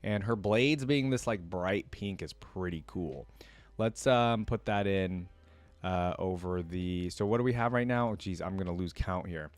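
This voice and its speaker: A man's voice: low-pitched, unhurried, dry recording. A man hosting a reaction video, giving casual commentary in an informal way, thinking aloud and often indecisive.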